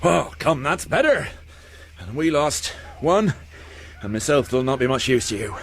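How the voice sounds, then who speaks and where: A British actor playing a gruff, stoic sea captain giving orders in a radio drama. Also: male voice, medium pitch, sing-song, a little slow, slight background noise. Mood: stoic, wry. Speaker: ship's captain character.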